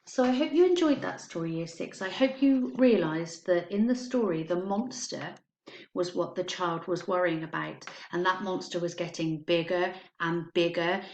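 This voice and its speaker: A woman, a primary school teacher, reads a children's story aloud and talks to pupils, explaining simply and stressing words expressively. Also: on the low side. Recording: echoey, roomy sound.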